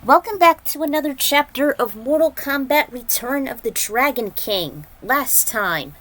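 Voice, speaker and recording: A woman's voice: mid-range voice, a bit bright, rough recording. A woman hosting a story reading, giving an informal, conversational recap and introduction. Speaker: host reading own fan fiction aloud.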